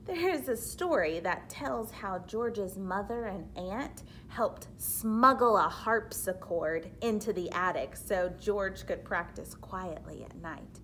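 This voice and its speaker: A woman reading a children's book aloud expressively, pausing to explain things simply like a teacher. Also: mid-range voice, animated, a bit bright, slight background noise, poor audio. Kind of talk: read-aloud storytelling with explanatory asides for children.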